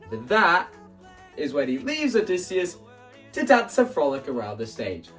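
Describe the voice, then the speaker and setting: Higher-voiced man rambling enthusiastically over music. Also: wildly swooping pitch, moderate pace, fairly bright tone, recorded from a distance. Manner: enthusiastic, rambling, self-interrupting, acts out quoted lines. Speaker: video creator. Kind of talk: casual explanatory vlog about staging a musical.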